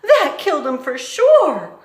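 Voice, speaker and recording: A woman voicing a dim-witted young serving girl who shouts in rough country speech. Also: sits high, huge pitch swings, slow pace, echoey room, pitched above the performer's own voice, heavily acted. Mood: excited.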